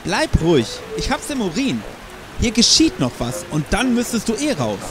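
An actor playing a brazen, scheming police detective in a German audio drama, casual and insistent in manner. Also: male voice, high-pitched, huge pitch swings, normal speed. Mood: sheepish, coaxing. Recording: rain and music behind.

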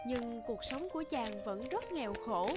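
A woman's voice: fairly high pitch, expressive, normal speed. A woman narrating a scripted children's folktale as a storyteller. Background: background music.

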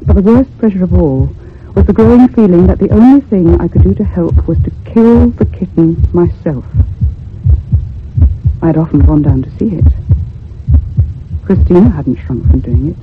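Troubled ghost story narrator, high voice, measured and earnest, tinny old radio sound. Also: male voice. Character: troubled, sensitive narrator haunted by a child ghost. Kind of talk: dramatic radio horror narration. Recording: tinny, narrow old radio recording with hum.

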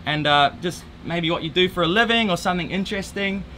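A man's voice: high-pitched, fast, a little hiss or hum. A man in his thirties hosting a sailing trip, talking casually with fillers on a catamaran deck. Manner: relaxed, hesitant with fillers. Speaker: boat captain and channel host. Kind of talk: casual group briefing.

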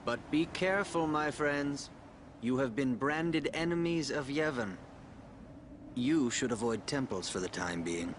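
An actor playing a calm, guarded but kindly older priest in a video game, with measured delivery. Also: male voice, medium pitch, slight background noise, lightly acted. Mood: cautioning. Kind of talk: video game cutscene acting.

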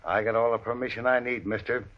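A short-tempered gang boss from a radio Western, acted by a man. His voice is husky and mid-pitched, his talk blunt and full of threat. It sounds like a dull vintage broadcast. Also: fairly even intonation, fast talker, close to an everyday voice. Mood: menacing. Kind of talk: old-time radio Western acting.

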